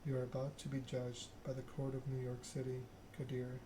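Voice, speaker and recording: A man voicing a cold, noble female vampire ruler in a video game, speaking formally and with measured command. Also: medium pitch, monotone, natural voice. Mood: stern, formal. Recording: clear background noise.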